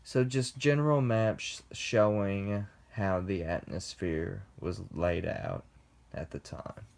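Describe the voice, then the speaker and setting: Young male student presenter, lowish voice, slow measured read. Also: creaky, darkish tone. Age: young adult. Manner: slow, deliberate reading with pauses. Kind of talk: class presentation read from script.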